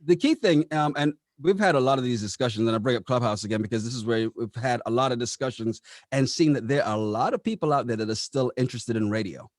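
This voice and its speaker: A man's voice: mid-range voice, fast, no room sound, clean studio sound. A man answering interview questions conversationally, explaining things and often posing rhetorical questions as he goes. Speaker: radio operations manager being interviewed.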